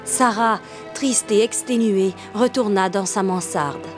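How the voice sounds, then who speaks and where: A woman playing the storyteller of a children's cartoon, narrating in measured, literary phrases. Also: medium pitch, lightly acted. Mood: sombre narration. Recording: loud music under the voice.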